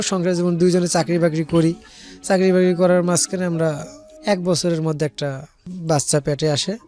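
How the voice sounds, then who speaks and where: A man's voice: a little high, a little mellow. A man with a Bangladeshi accent telling a personal story in casual, colloquial speech, rambling and repeating phrases as he quotes conversations. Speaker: guest telling their life story.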